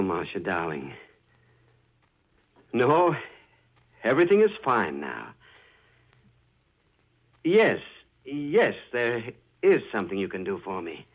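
An actor playing an overwrought composer forcing calm in a 1940s radio drama, with halting, theatrical phone-call delivery. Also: male voice, medium pitch. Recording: muffled old radio recording.

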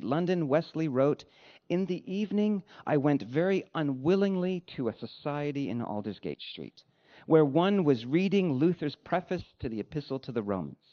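A man preaching a sermon as a pastor, with an emphatic, measured rhetorical delivery. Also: on the high side, dull audio. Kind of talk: sermon with read-out quotations.

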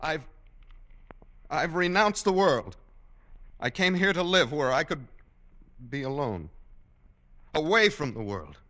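An actor playing a nervous, reclusive poet with an intense literary delivery in a 1940s radio drama. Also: male voice, on the high side, sing-song, slow, long pauses, a bit bright, no room sound, full character voice. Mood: frightened, pleading. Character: nervous, reclusive male poet narrating his diary.

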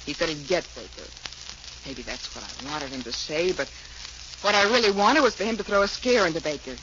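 A woman's voice: low-pitched, expressive, fairly quick. An actress playing a brash, determined young showgirl in 1940s radio drama, blunt and tough-talking. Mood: worried, confiding. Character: brash, hard-edged young female showgirl, desperate and determined. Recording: muffled, hissy 1940s radio recording.

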